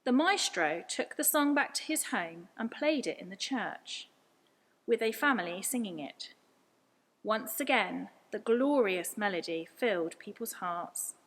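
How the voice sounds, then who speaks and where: A woman's voice: medium pitch, lively intonation, unhurried, fairly bright tone. A woman reading a scripted story aloud as a storyteller. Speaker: story reader.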